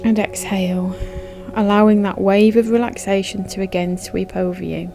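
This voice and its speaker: A woman with a dark, mellow voice leads a guided meditation. She speaks in a soothing, measured way, giving gentle instructions at a medium pitch. Music plays clearly behind her voice. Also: steady tone. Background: background music.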